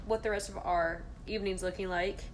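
A woman's voice: mid-range voice, fairly even intonation, brisk, slight background noise. A woman in her twenties co-hosting a podcast in casual banter, with a giggly, jokey, self-mocking manner. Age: 20s. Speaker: podcast co-host. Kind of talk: casual banter between friends.

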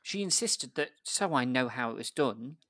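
A man's voice: mid-range voice, lively intonation, normal speed, fairly bright tone, no echo. Self-mocking man telling a story. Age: adult. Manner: self-mocking, laughing often through the tale. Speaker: guest storyteller. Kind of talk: casual anecdote.

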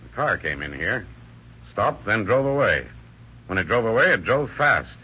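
A shrewd county sheriff who speaks in a measured, authoritative way. His low but bright voice moves a lot in pitch, in 1940s radio acting on a dull, faintly hissing recording. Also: sing-song, slightly rough, full character voice.

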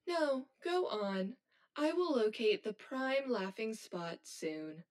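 A woman playing a cold, blunt high-achieving schoolgirl who talks in a stiff, formal, robotic way in an audio drama. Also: expressive, takes it slowly. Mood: flat, detached. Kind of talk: scripted audio drama acting.

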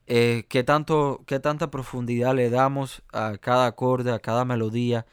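A man's voice: medium pitch, flat delivery, normal speed, smooth, no echo. A man with a Cuban accent, a jazz pianist talking in an interview, hesitant with many fillers and restarts. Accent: Cuban Spanish. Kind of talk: conversational interview.

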